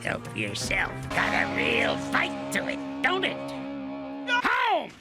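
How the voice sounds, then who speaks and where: A man's voice: extremely low pitch, wildly swooping pitch, slow pace, heavily acted. An actor voicing a grumpy, insulting old male ape in a cartoon series, crotchety and scolding. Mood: exasperated. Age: older. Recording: cartoon soundtrack with loud music.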